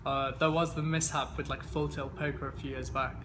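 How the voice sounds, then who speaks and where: A man's voice: medium pitch, flat delivery, a little fast, over music. A man in his twenties, raised in England, answering interview questions in an easygoing way with many fillers and restarts. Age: 20s. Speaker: interviewee, games player.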